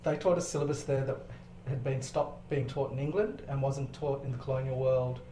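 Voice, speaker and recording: A man speaks as an interview guest in a relaxed, explanatory way with the odd swear word. His voice sits at a middling pitch. The recording is echoey, with some faint noise behind it. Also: fairly quick. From born in Zambia, lived in Botswana as a child, then grew up in Australia. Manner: explanatory, articulate, swears casually. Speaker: podcast guest. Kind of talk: casual interview storytelling. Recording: roomy echo, clear background noise.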